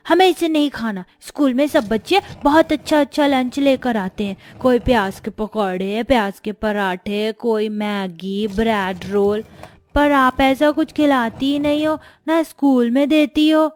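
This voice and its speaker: A woman voicing a whiny, demanding young schoolchild who pleads with parents in a moral-story cartoon. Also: sits high.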